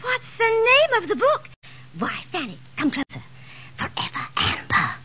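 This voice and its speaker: An actress playing a catty, gushing society gossip in a 1940s radio comedy, chatty and theatrical. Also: female voice, very high voice, wildly swooping pitch, unhurried, sharp tone, exaggerated voice. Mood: coy, insistent. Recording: hissy vintage 1940s radio recording.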